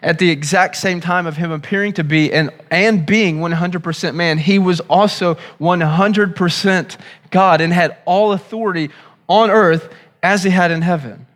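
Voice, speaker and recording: A pastor preaching a sermon in an earnest, teaching manner, sounding a little hoarse and often repeating himself as he restarts phrases. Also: high voice, fairly even intonation, moderate pace, a bit bright. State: hoarse, recovering from lost voice.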